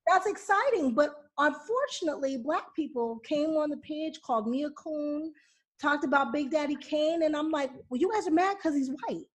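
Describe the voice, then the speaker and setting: A woman's voice: high voice, animated, moderate pace. A woman from Pittsburgh hosting a podcast, talking in a casual, teasing, affectionate way. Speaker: podcast host.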